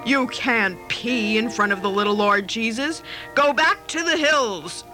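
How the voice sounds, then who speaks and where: A woman voicing a boastful, imperious young girl, loud and sneering, in a told story. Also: fairly high pitch, a little slow, faint music behind, poor audio. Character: bossy, boastful young girl playing Joseph in pageant.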